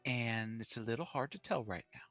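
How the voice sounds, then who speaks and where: A player in a tabletop game, talking slowly and hesitantly with playful jokes. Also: mid-range voice, a bit bright, dry recording, muffled recording, soft background music. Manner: slow, joking, hesitant. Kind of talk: casual tabletop game chat.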